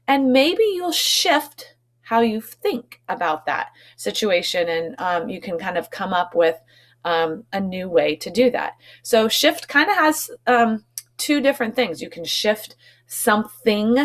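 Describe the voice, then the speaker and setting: Female primary teacher, medium pitch, explaining words to kids step by step with frequent little check-in questions. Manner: instructive, often checks in with short tag questions. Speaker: elementary school teacher. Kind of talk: explanatory vocabulary lesson for children.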